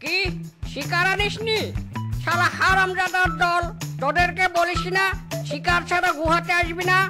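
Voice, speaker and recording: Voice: very high voice, fairly even intonation, normal speed. A performer voicing a foul-mouthed, blustering male fox chieftain, a cartoon villain. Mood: angry. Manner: blustering, threatening, swearing. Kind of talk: cartoon character acting. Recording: loud music behind the voice.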